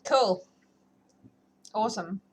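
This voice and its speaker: A woman's voice: mid-range voice, takes it slowly, darkish tone. A woman hosting a livestream, chatting casually and informally to her viewers in a rambling, self-deprecating way. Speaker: livestream host who reads books aloud. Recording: clearly noisy livestream audio.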